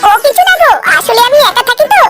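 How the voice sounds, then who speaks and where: A woman's voice: very high voice, very animated, fast, over loud music. A woman voicing a coy, flirtatious, lonely married neighbour in a comedy cartoon. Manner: coy, inviting. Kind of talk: Bengali comedy cartoon dubbing.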